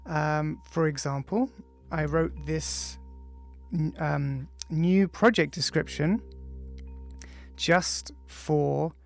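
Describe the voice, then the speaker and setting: A man, the author, introducing and reading his own audiobook, speaking casually with frequent ums and pauses. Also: fairly high pitch, creaky. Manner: casual, hesitant, many ums between phrases. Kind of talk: audiobook introduction, loose spoken then read text. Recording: music playing faintly behind.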